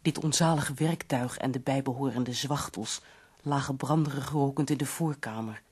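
Husky, earnest visitor. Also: female voice, a bass voice, a little mellow. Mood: grave, narrating. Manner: literary, measured, formal. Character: earnest, concerned visitor of a sick poet, recounting memories. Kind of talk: radio drama acting and narration.

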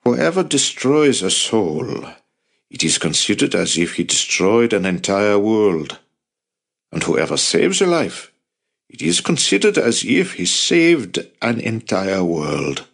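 A man narrating an audiobook plays a determined resistance fighter with a low voice. He is earnest and blunt, pressing his case with passion and persuasion. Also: moderate pace. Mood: impassioned.

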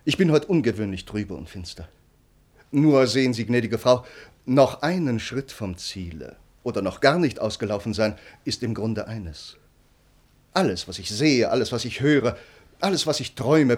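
An actor playing an earnest, brooding count and devoted bridegroom in a formal, declamatory classical German radio play. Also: male voice, on the low side, animated, moderate pace, breathy edge.

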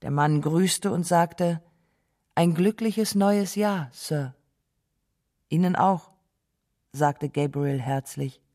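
A woman reading literary narration and quoted dialogue for a radio drama in a measured, deliberate way. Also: low voice, warm tone.

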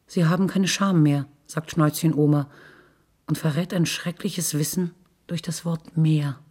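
Raspy-edged woman narrating literature. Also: sits low, steady tone, measured pace, a little mellow. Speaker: narrator in a radio play.

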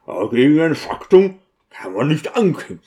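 A man's voice: a little high, big pitch swings, moderate pace, mellow. A man voicing an eager, boastful deacon in an audiobook, using affected, oddly stressed expressions. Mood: stubborn, resigned. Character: eager, boastful deacon showing off newly learned city slang.

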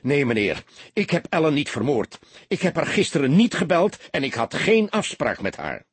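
A man acts out a young soldier in an audiobook, earnest and grieving. The voice is lifted and husky, with a hesitant stammer. He turns defensive easily, and the recording is dry and close. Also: on the high side, big pitch swings, normal speed, dry recording, pitched above the performer's own voice. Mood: indignant. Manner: hesitant, stammering, repeats words.

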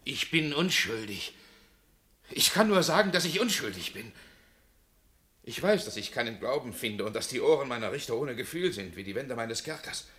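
A man's voice: mid-range voice, very animated, moderate pace, sharp tone. An actor playing a condemned man who pleads his innocence from his cell. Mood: despairing. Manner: impassioned, crying out his innocence. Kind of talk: radio drama acting.

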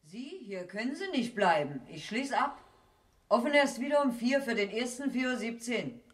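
An actress playing a talkative, brisk small-town station attendant in a colloquial 1970s German radio drama. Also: female voice, a little high, big pitch swings, husky. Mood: brisk, apologetic. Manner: chatty, folksy, blunt.

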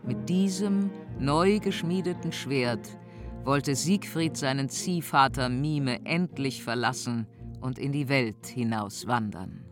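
A woman narrating a radio drama as a measured, deliberate storyteller. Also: rumbling low. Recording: music under the narration.

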